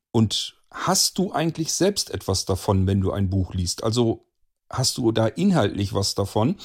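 A man hosting an interview, putting prepared questions with deliberate, emphatic phrasing. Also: medium pitch, vocal fry, a little mellow, husky. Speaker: interviewer, host.

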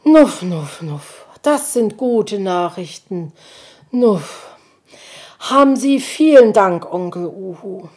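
A woman's voice: mid-range voice, lively intonation, slow, a little mellow. A woman voicing a caring mother hedgehog who snuffles as she talks. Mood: relieved. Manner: snuffling "nuff" sounds.

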